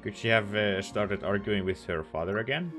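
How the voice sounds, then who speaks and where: A man's voice: lowish voice, normal speed. A man giving casual commentary as he plays through a video game. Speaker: let's play gamer. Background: game music and dialogue.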